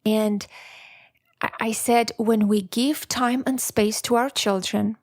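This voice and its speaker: A woman's voice: on the high side, fairly even intonation, slow, a little mellow, no room sound, clean studio sound. A middle-aged woman with an accent, whose first language is Armenian, hosting a podcast in a candid, self-deprecating solo reflection. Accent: non-native English. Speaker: podcast host.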